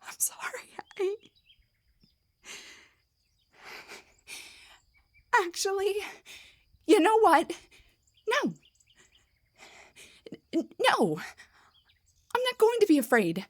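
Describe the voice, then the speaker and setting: A woman voicing an indignant, defensive half-drow bard in a scripted fantasy roleplay audio, with a breathy, theatrical delivery. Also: very high-pitched, huge pitch swings, slow pace, long pauses, full character voice. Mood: panicked, stammering, then defiant. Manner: theatrical, sarcastic, emphatic, stammers when rattled. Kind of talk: scripted roleplay monologue, one side of a conversation.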